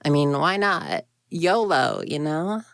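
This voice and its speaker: A woman's voice: low voice, lively intonation, slow pace, fairly bright tone, no room sound, clean studio sound, full character voice. A woman voicing a grumpy, proud young female ghost in an intimate roleplay audio drama, huffy and easily flustered. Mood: coy, defensive. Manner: huffy, indignant, flustered stammers, conversational.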